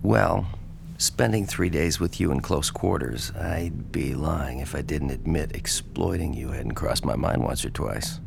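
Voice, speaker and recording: An actor playing a smooth-talking, pushy but reassuring male journalist in an audio drama, casual and persuasive. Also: rumbling low, unhurried, noisy background, full character voice. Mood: coaxing. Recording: music under the dialogue.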